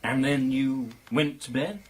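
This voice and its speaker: An actor playing a breezy, impatient British gentleman in a radio drama, jocular and blunt. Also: medium pitch, measured pace, a little hiss or hum, natural voice.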